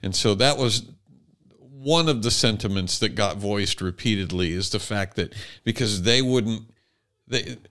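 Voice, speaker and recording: A man hosting a conversational talk show, phrasing things deliberately with repeated starts and reading out comments. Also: fairly low pitch, fairly bright tone, clean tone. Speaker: podcast host.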